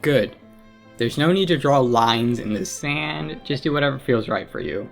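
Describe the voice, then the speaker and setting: A woman's voice: a bass voice, normal speed, vocal fry, soft background music. Casual slangy woman reacting to a game. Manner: informal, slangy. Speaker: game streamer.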